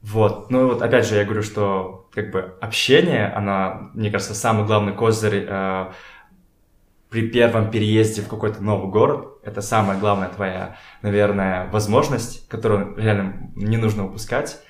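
A young man talks casually in an echoey room. He searches for his words and drops in slangy asides, like a guest in a relaxed interview. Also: on the low side, normal speed. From Kazakhstan. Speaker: podcast guest, photographer and art director. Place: indoors in a home.

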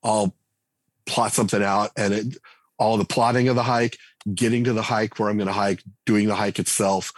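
Interview guest, male, very low voice, loose and chatty, lots of "uh" and restarts. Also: normal speed, dry recording. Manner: relaxed, thinks aloud with many fillers and restarts. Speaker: game writer and designer, guest. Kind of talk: casual interview conversation.

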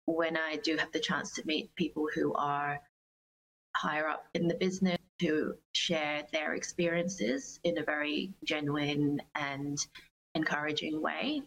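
Low-pitched, measured woman, slightly noisy recording. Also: fairly even intonation, fairly bright tone. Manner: measured, earnest, careful phrasing. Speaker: guest, singer-songwriter. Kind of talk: reflective interview answers.

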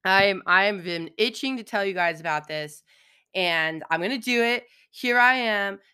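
Woman podcast host, hoarse from allergies, crisp, bright tone, casual and chatty. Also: medium pitch, moderate pace. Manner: chatty, frequent "right?" and "um". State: hoarse, froggy voice. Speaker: podcast host, philosophical counselor. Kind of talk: solo podcast monologue.

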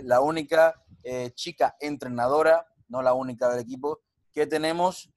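A man's voice: mid-range voice, no room sound. Man hosting, dry sound. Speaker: podcast host and strength coach. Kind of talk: casual podcast interview chat.

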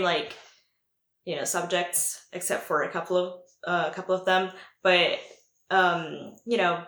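A woman's voice: on the low side, fairly bright tone, echoey room. A young woman from Italy with an Italian accent tinged American, answering interview questions casually with plenty of fillers. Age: young adult. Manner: chatty, many fillers like "um" and "like". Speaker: guest, former exchange student.

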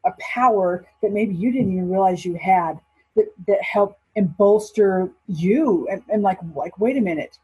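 A woman host interviews her guest, reflecting her guest's points back in her own words. Her voice is deeply breathy and husky, with a pitch in the middle range. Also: normal speed, a little mellow, poor audio. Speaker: podcast host.

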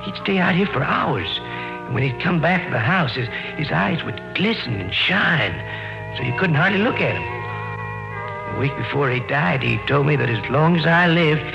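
An actor in an old radio drama playing a superstitious rural caretaker, telling things in a halting, folksy, ominous way. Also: male voice, a little high, lively intonation, creaky. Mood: haunted, foreboding. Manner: folksy, halting, foreboding storytelling. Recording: muffled vintage radio recording, music behind.

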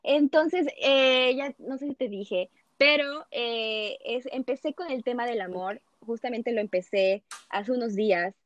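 Woman hosting a podcast, fairly high voice, lots of fillers, dry lo-fi sound. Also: unhurried, few pauses, no echo. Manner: chatty, with many fillers and restarts. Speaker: podcast host. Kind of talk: casual podcast chat.

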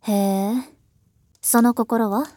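Woman acting a slow, languid elder sister. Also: fairly high pitch, level tone, warm tone. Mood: probing, pensive. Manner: slow, wistful, rhetorical musing. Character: languid, world-weary elder sister, musing ironically. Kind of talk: Japanese voice drama acting.

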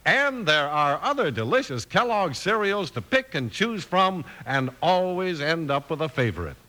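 A man's voice: on the high side, big pitch swings, moderate pace, bright, forceful tone. An American man announcing in upbeat, punchy 1950s radio sales style.

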